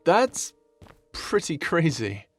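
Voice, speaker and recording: A man playing a seasoned, caring and wry adventurer in an ASMR audio roleplay, speaking softly in a measured, gently theatrical way. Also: fairly high pitch, very animated. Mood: wary, wry. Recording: music under the voice.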